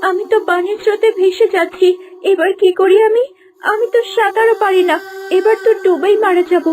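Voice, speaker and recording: A woman's voice: very high voice, fairly even intonation, a little fast, noisy background. A woman voicing a pleading young heroine. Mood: frightened. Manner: pleading, tearful cries for help. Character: young woman heroine, gentle, desperate victim. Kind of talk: cartoon voice acting. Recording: music bed underneath.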